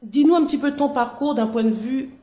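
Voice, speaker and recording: A woman hosting a talk show, introducing her guest and asking him questions in a lively way. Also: sits high, a little fast, roomy sound. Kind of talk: hosting an interview.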